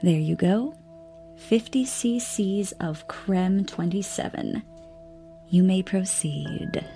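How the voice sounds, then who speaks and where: A self-assured scientist in an audio drama, teasing and sly. Her voice has noticeable creak at a middle pitch, and she talks with breezy sarcasm. Music plays clearly underneath her. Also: takes it slowly, mellow. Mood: breezy, sardonic.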